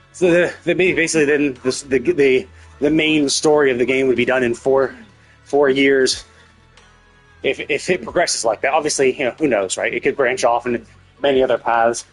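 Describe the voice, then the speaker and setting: A man's voice: medium pitch, breathy edge, poor audio. A man talking casually on a game livestream, thinking aloud in a rambling way and often repeating his words. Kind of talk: casual livestream commentary, speculating.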